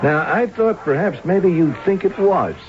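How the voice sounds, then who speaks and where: A man's voice: sits high, animated, measured pace. An actor playing a worldly, wry male police captain in a 1970s radio drama. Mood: wry, satisfied. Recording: muffled vintage radio with music behind.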